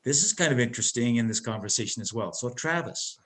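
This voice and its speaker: A man's voice: mid-range voice, animated, quick pace, a bit bright. Loose-talking male panel moderator. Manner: loose, rambling with fillers and restarts. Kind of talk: introducing panelists at a forum.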